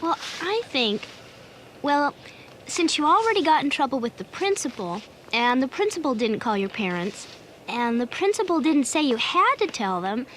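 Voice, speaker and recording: A woman's voice: high voice, fairly bright tone, a little hiss or hum. A woman playing a friendly, breezy young adviser in a family radio drama. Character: friendly, casual young woman giving easygoing advice.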